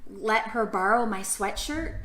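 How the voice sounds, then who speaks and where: A woman's voice: mid-range voice, measured pace, fairly bright tone. A woman leading a storytime for young children, reading aloud and asking her listeners questions in an encouraging way. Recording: roomy, echoing room sound.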